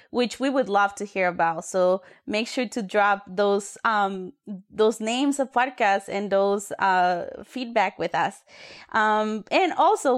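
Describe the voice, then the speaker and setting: A woman from Ecuador co-hosting a youth podcast, chatty and friendly, with fillers. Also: medium pitch. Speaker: podcast co-host, youth worker.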